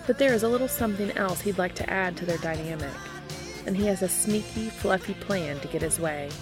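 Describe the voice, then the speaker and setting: A woman's voice: fairly low pitch, brisk, music in the background. A woman reading a story aloud as scripted audiobook narration. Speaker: audiobook reader of fan fiction.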